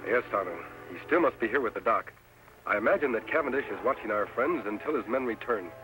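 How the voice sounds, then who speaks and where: An American actor playing a steady, commanding masked cowboy hero in an old-time radio western. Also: male voice, on the low side, quick pace, lightly acted, poor audio. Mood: resolute. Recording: old radio broadcast with music behind.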